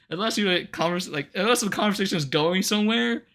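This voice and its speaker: A man chatting casually on a livestream, ranting to viewers in an incredulous, animated way with casual swearing. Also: high-pitched. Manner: laughs often between remarks. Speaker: live streamer.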